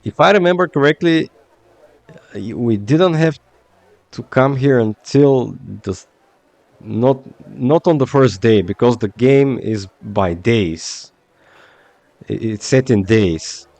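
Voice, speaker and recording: A man's voice: medium pitch, animated, slow pace, vocal fry, a little mellow, dry recording. A man from Bulgaria with a Bulgarian accent, chatting casually while streaming a game. He rambles in a hesitant way, full of fillers.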